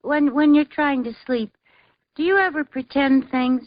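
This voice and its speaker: A woman's voice: high voice, unhurried, no echo. A woman acting a scatterbrained, cheerful, chatty housewife in breezy, naive 1940s radio comedy style. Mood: dreamy, coaxing. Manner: breezy, naive, theatrical comic delivery. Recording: muffled, noisy old radio recording.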